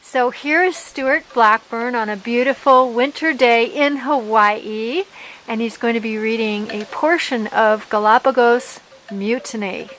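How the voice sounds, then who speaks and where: Woman, somewhat high pitch, informal delivery, host-style introduction. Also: unhurried, fairly bright tone, a little hiss or hum, rough recording. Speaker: author introducing a reader. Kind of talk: casual spoken introduction.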